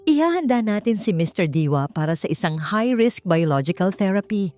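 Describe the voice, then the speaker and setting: Woman playing a Filipino woman doctor, audiobook drama, authoritative, resolute, confident, lively intonation. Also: mid-range voice, fairly quick, faint music behind, close to an everyday voice. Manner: resolute, announcing.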